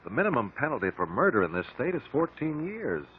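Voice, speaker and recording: Genial, lively-voiced radio investigator. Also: male voice, mid-range voice, fast talker, husky, narrow, tinny audio. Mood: inquiring, measured. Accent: American. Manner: articulate, courteous, precise wording. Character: genial, educated male court investigator on vacation. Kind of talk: 1950s radio drama acting. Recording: noisy, band-limited old radio recording.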